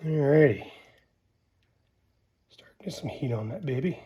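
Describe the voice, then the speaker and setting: Male DIY host, medium pitch, colloquial and down-to-earth, talking through practical steps while his hands are busy. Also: lively intonation, measured pace, slightly rough, highs cut off. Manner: folksy, colloquial. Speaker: host of a DIY how-to video. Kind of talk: casual hands-on tutorial talk while working.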